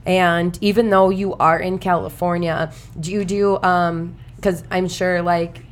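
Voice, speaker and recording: A woman's voice: lowish voice, flat delivery, measured pace. Chatty young woman podcast host. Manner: chatty, lots of fillers like "um" and "like". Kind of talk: casual podcast interview.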